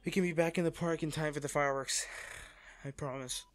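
A man playing a devoted, teasing and self-blaming boyfriend in a romantic audio roleplay, speaking haltingly and tenderly. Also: a little high, steady tone, natural voice. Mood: frustrated, upset. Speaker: voice actor.

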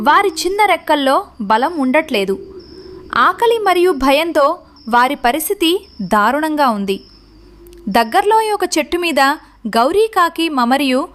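Casting: female storyteller for kids' cartoon, high-pitched, scripted narration, slightly noisy track. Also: fairly bright tone.

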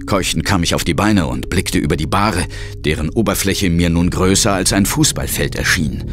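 Man as deep-voiced grim ghost-hunter narrator. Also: moderate pace, close to an everyday voice. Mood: grim, awed. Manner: grave, suspenseful. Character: male ghost hunter grimly telling his own ordeal. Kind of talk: first-person dramatic audio drama narration. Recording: loud dramatic music behind.

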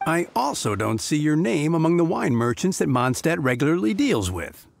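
A man's voice: fairly high pitch, big pitch swings, normal speed, gravelly, slight background noise. A man voicing a formal, dutiful, by-the-book city gate knight in a video game, courteous and officious. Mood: officious scrutiny. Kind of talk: video game character acting.

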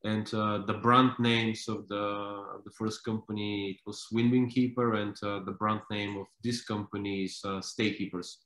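A man in his thirties from Bulgaria answers interview questions over a video call, his low voice staying flat and even. He talks haltingly, with frequent fillers and restarts. The sound carries the echo of an indoor room. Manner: hesitant, many fillers and restarts. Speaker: company founder and CEO.

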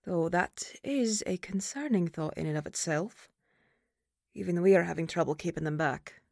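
A woman playing a stern, duty-bound banshee mother in an audio roleplay, speaking formally and gravely. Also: lowish voice, moderate pace, a little mellow, dry recording, clean studio sound.

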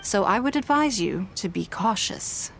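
A woman voicing a poised, wealthy businesswoman in a video game, speaking in a measured, composed and authoritative way. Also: medium pitch, expressive, vocal fry, slight background noise.

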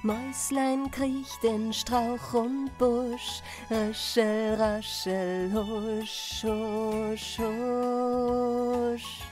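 A woman's voice: a little high, little pitch movement, extremely slow, a little mellow, soft background music. A woman voicing a tiny, playful singing mouse, chanting a storybook rhyme in sing-song.

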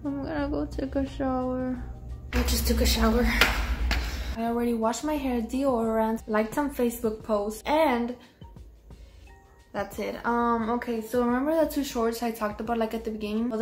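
A woman's voice: on the high side, moderate pace, recorded from a distance. A teenage girl with a slightly Mexican-tinged accent, chatting casually and a bit tiredly in her bedroom about clothes she is trying on. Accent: Mexican-tinged English. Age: teens. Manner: chatty, rambling, tired and easygoing. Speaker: vlogger. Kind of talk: casual try-on vlog chat. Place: small bedroom. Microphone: camera across the room. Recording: roomy, from off the mic, soft music behind.

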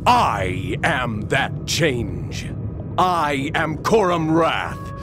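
A man playing a grandiose, scornful usurper in a video game, orating with pompous menace. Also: medium pitch. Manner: pompous, deliberate villain oratory. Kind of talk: dramatic video game acting.

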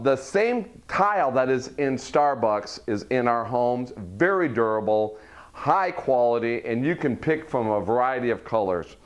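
Man giving a salesy home tour. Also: a little high. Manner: salesy, listing features. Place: furnished model home, indoors.

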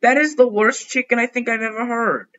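A young man giving chatty, excitable commentary while playing a game, thinking out loud as he goes. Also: extremely high pitch, a little fast, highs cut off. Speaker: gaming youtuber. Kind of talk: casual gameplay commentary.

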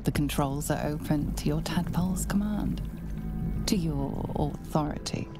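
Dark fantasy narrator: low, gravelly, solemn and portentous, scripted second-person storytelling. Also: female voice, a little slow, loud music behind the voice.

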